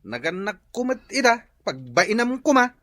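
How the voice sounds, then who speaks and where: A sheepish, hen-pecked drinker of a husband in a radio drama. His Ilocano-accented voice is somewhat high and very animated, recorded close and dry. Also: normal speed, dry recording, exaggerated voice. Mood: sheepish, defensive.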